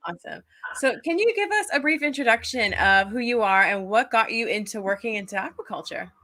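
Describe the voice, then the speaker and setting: A woman's voice: on the high side, animated, a little fast, sharp tone. A woman podcast host giving an upbeat scripted welcome and asking interview questions.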